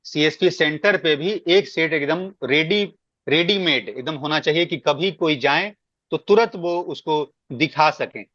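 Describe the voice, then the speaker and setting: Higher-voiced man, dull call audio. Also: a little slow, a bit bright. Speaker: meeting speaker giving guidance. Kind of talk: explanatory work meeting talk. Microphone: online conference call.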